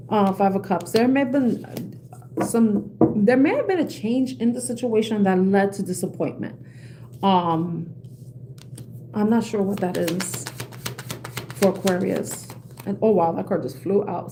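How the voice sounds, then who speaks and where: A woman talks through a tarot spread in a loose, conversational way. She is quick to laugh at herself and stops to correct her words. A faint hiss and the click of cards sit under her mid-pitched voice. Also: dark tone, low-quality recording. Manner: chatty, laughs at own jokes, self-correcting. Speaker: tarot reader. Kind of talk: casual tarot reading.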